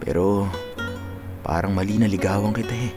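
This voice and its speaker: A man's voice: lowish voice, fairly even intonation, moderate pace, natural voice. A Filipino actor in a radio drama playing an earnest, playful young man in his twenties who is in love. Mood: tender, confessing. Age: 20s. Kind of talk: Tagalog radio drama acting. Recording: music behind the dialogue.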